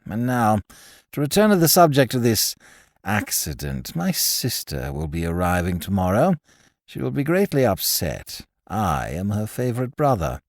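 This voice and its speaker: Guy putting on a low quiet drawl, smug lazy bedridden young man, dry close sound. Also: big pitch swings, normal speed, dry recording. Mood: languid. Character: languid, smug young man laid up in bed.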